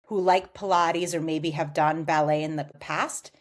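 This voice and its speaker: An American woman in her forties, giving measured, explanatory answers as a guest on a podcast interview. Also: low-pitched, fairly even intonation. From United States. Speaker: guest, customer success executive.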